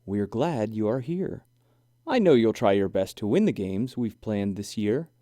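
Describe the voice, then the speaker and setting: A man's voice: lowish voice, lively intonation, measured pace, warm tone, no echo, studio quality. A man voicing an upbeat female animal judge who announces games in a children's storybook. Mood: bright, official.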